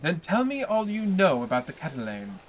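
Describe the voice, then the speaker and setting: A man's voice: a little high, huge pitch swings, moderate pace, slight background noise, pitched above the performer's own voice. Man voicing sly, scheming Danglars. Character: scheming jealous male ship's officer.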